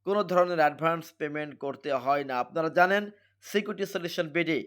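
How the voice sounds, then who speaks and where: A man with a Bangladeshi accent giving an emphatic, repetitive sales pitch as he presents a product. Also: quick pace, fairly bright tone, clear, resonant tone. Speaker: product seller presenting a device.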